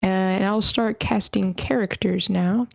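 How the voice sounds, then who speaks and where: Woman host, medium pitch, unhurried pace, casual organizing talk, dry close sound. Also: fairly even intonation, creaky, dry recording. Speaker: host of an online table read. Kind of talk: casual hosting, organizing readers. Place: online meeting.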